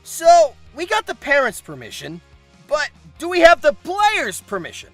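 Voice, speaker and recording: A man hosting scripted comedic commentary in an irreverent, theatrical, sweary way with shouted emphasis. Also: very high voice, wildly swooping pitch, measured pace, soft background music. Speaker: host and narrator of a comedic manga video.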